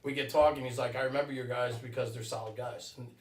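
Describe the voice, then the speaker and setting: A man's voice: medium pitch, fairly even intonation, fast. A man hosting a casual podcast chat, affirming and repeating short phrases, recorded roomy and a little off the mic. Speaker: podcast host. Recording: roomy, distant mic, faint music.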